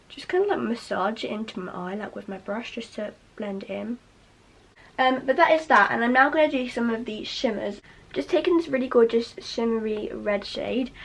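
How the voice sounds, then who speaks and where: A young woman with a slightly hoarse, fading voice, talking through a casual makeup tutorial in a chatty, rambling way. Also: on the high side. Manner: chatty, rambling with many fillers. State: losing her voice, slightly hoarse. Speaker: beauty vlogger.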